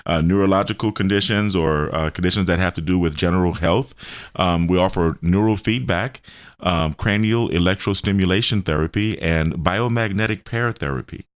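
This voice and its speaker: A middle-aged man with a deep, low-pitched voice is interviewed on radio. He explains his work in careful, informative terms. His delivery is halting, building each answer piece by piece. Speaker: show host and health business owner being interviewed.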